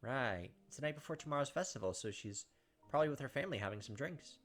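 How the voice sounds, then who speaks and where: A man's voice: mid-range voice, lively intonation, quick pace. A man reading a visual novel aloud in a dramatic first-person way, with casual asides as a gaming host. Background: game music.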